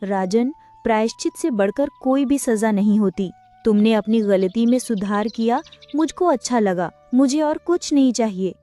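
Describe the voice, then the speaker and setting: A woman voicing a kind crippled female goat in a Hindi cartoon, speaking gently. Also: on the high side, moderate pace, faint music behind, close to an everyday voice. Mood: forgiving. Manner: gentle, forgiving. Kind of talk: cartoon voice acting.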